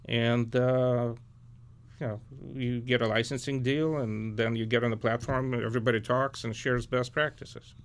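A man's voice: mid-range voice, flat delivery, moderate pace. A middle-aged man from Ukraine, speaking as an interview guest in an earnest, reflective way and often searching for words. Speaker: guest, nonprofit founder. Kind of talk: conversational interview.

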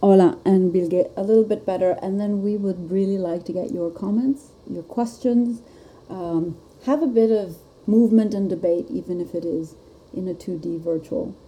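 A woman's voice: mid-range voice, normal speed, few pauses, mellow, rough recording. A woman hosting a live podcast chat, talking casually and laughing often. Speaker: podcast host.

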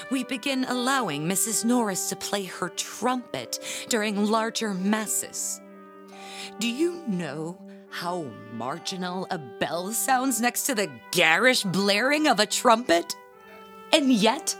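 An actress playing a proud, aggrieved, self-important middle-aged church bell ringer in an audio drama, speaking in a theatrical, haughty, deliberate way. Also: female voice, mid-range voice.

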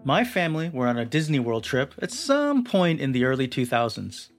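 A man's voice: medium pitch, sing-song, moderate pace. A young man reading scripted documentary narration with a dramatic storytelling delivery. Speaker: narrator of a feature article. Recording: music bed under the voice. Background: music.

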